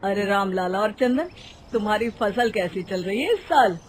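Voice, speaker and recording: A woman's voice: medium pitch, expressive, normal speed, lightly acted. A woman playing a chatty, grumbling male village farmer in a cartoon story.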